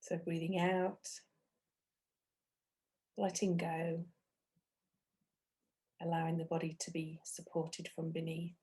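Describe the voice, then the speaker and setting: A woman leads a guided meditation in a soft-edged, very mellow voice that sits fairly low. She speaks gently and without hurry, as a meditation teacher, leaving long pauses between short phrases. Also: completely flat delivery, husky.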